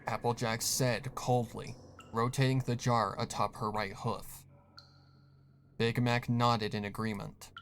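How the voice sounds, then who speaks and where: A man's voice: mid-range voice, level tone, unhurried, soft background music. A man reading a scripted horror story as a dramatic narrator. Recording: faint background noise.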